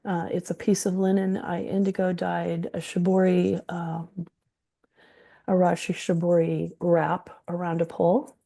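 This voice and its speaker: An older woman speaks with a hoarse, gravelly rasp and a dark, mellow tone. She talks slowly and unhurriedly, presenting her quilts with frequent ums. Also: fairly low pitch, little pitch movement. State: hoarse from the flu. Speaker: textile artist presenting her work.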